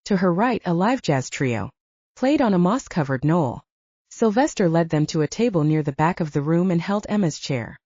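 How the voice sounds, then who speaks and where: A woman's voice: lowish voice, animated, moderate pace, darkish tone, dry recording, lo-fi sound. A woman reading a romance novel aloud as a scripted audiobook narrator.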